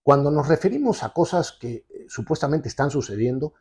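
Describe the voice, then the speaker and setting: A man in his sixties delivers forceful opinion commentary. His voice is dark, gravelly and husky. He talks with emphatic insistence, recorded close on a lapel mic in an office. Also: fairly high pitch, expressive, a little fast. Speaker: TV show host and commentator. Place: indoor office with leather sofa.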